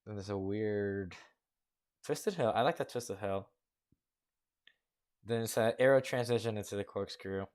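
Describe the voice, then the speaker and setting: A man's voice: fairly low pitch, unhurried, pauses often, darkish tone. A young man hosting a casual contest review, rambling through frank critique with many fillers. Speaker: host judging a coaster-building contest. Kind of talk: casual commentary over gameplay.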